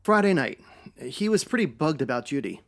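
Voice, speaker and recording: A plain-talking man acted out as a witness in a 1950s radio detective story. He is folksy, slangy and a little hesitant, with lively rises and falls in pitch. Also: fairly quick. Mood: wary. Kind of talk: old-time radio detective parody.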